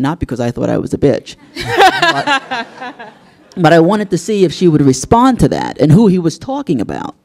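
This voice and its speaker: A male journalist speaks on a university panel with a gravelly, mellow voice. He talks in an earnest, measured way and leans into storytelling to make his point. The delivery is thoughtful rather than showy. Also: a little high. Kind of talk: panel discussion. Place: university event hall.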